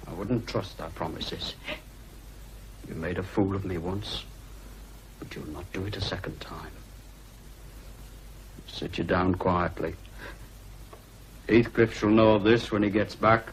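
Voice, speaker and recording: A stubborn young farmhand talks bluntly and gruffly. His voice sits a little low. It is a radio drama with faint background hiss. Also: fairly even intonation, unhurried, pauses often, low-quality recording. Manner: blunt, gruff dialect speech.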